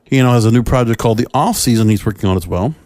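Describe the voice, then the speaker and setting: Man, podcast host, solo commentary, medium pitch, halting short phrases, dry recording. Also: a little mellow, no echo.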